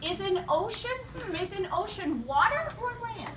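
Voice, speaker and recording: A woman's voice: very high voice, expressive, normal speed, a crowd in the background. A woman teaching an elementary class in an interactive read-aloud, instructing, asking questions and repeating students' answers back. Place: indoor school classroom. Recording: roomy, distant, noisy classroom recording.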